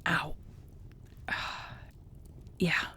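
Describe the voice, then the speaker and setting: A woman playing a battered, tough but affectionate orc girlfriend in an intimate fantasy audio roleplay, with a husky, gravelly voice and a wry, wearily understated way of talking. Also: drawn-out words, bright, forceful tone, whispered. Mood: wry, sore. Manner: sighing, conversational, wearily understated.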